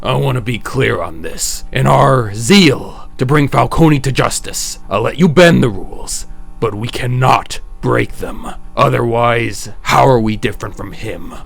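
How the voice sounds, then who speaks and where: Man playing a measured, creaky-voiced police captain. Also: medium pitch, expressive. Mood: earnest. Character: principled, cautious male police captain. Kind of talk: comic dub acting.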